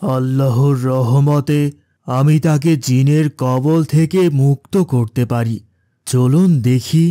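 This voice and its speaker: A man's voice: mid-range voice, steady tone, takes it slowly, dark tone, no room sound, clean studio sound, close to an everyday voice. A man voicing a stern, commanding village cleric who drives out spirits, solemn and authoritative, in a horror cartoon. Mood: solemn assurance. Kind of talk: dramatic horror cartoon acting.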